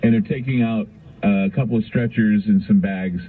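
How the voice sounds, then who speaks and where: Middle-aged American male radio host, low and slightly husky, rambling storyteller, narrow tinny sound. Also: moderate pace. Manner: rambling, conversational, repeats phrases. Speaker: radio talk show host.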